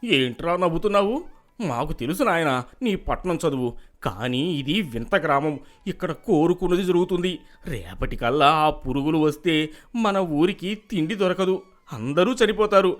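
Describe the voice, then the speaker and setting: Man acting a panicky, high-pitched village elder. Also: animated, normal speed, a bit bright. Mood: alarmed, scolding. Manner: urgent, panicky pleading. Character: alarmed, fretful male village elder. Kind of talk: animated moral story acting.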